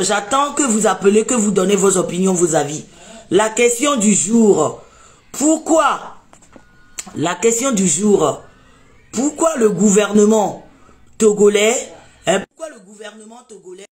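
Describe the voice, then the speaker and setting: A woman's voice: medium pitch, a little mellow. A woman from Togo hosting a casual live debate, chatty and emphatic, often repeating herself. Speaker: live show host.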